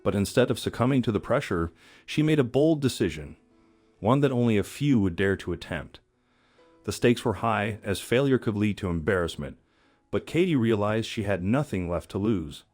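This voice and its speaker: A man's voice: fairly low pitch, lively intonation, moderate pace, darkish tone, no echo, soft background music. A man reading scripted story narration in a dramatic storyteller manner.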